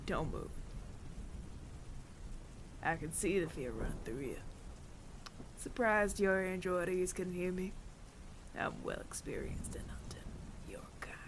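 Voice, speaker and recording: Man acting a gravelly, slow-talking bounty hunter. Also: sits high, animated, noisy background, heavily acted. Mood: menacing, taunting. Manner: slow, deliberate, taunting, with long dramatic pauses. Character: male bounty hunter of androids, menacing, world-weary, taunting. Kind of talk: ASMR audio roleplay, addressing the listener.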